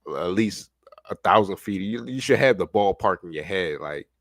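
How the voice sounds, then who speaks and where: A man's voice: deep voice, expressive, normal speed, no room sound, clean studio sound. A man giving casual, rambling, slangy reaction commentary with an amused manner. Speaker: reaction streamer.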